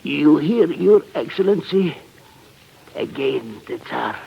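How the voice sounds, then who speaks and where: An actor playing a broken, half-mad prisoner in an old radio drama, halting and bitterly obsessed. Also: male voice, fairly high pitch, animated, full character voice. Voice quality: very creaky, gravelly. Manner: slow, halting, muttering then rising in intensity. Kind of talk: 1940s radio drama acting. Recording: dull, noisy old radio recording.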